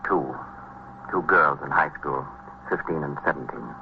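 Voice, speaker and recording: A man's voice: a bass voice, a little slow. An actor playing a courteous, hesitant middle-aged American businessman, shaken, in a vintage radio drama. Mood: subdued. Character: shaken, polite businessman in his late forties. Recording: dull, noisy vintage radio recording.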